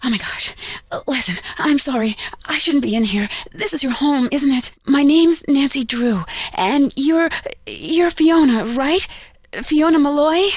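An actress playing a polite, plucky young American woman detective in a video game, speaking earnestly and clearly. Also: high voice, lively intonation, unhurried, dry recording. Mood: nervous, apologetic. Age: young adult. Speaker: player character, detective.

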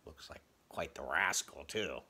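A man in his fifties reading a children's storybook aloud in an expressive, animated way at home. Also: very deep voice, a little slow. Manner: animated storytelling with asides to the listener. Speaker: storybook reader. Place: small furnished room at home.

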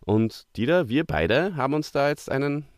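A man's voice: mid-range voice, lively intonation, moderate pace, dry recording, clean studio sound. A man hosting a casual podcast chat while suffering from a cold. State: has a cold. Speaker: podcast host.

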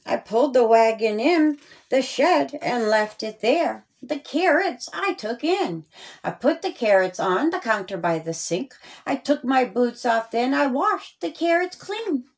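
Lady doing kids storytime, rhyming, sing-song, really slow and kinda high voice. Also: sing-song. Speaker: storyteller, author reading own children's story. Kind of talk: rhyming children's storytime read-aloud.

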